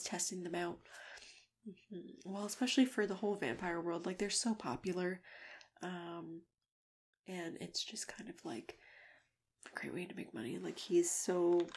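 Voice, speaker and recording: Slow, whispery ASMR woman over typing. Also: on the low side. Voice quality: soft, often dropping into a whisper. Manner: gentle, unhurried, confiding, with pauses and murmured acknowledgements. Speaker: ASMR performer role-playing a matchmaker. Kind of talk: soft-spoken ASMR role-play chat. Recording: clear background noise with keyboard typing. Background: typing and clicking.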